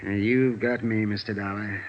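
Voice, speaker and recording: An actor playing a fretful, hesitant head of an accounting department in a vintage radio drama, often flustered and repeating himself. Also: male voice, fairly low pitch, steady tone, normal speed, close to an everyday voice. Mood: troubled, baffled. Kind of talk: 1950s radio drama acting. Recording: dull vintage radio recording, faint noise.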